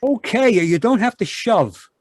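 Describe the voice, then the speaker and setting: A man's voice: high voice, big pitch swings, normal speed, no echo, studio quality. A man playing a surly, defensive crook with blustering, slangy talk in an old-time radio drama. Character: surly, defensive male crook, nervy husband. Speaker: actor. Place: live online video call.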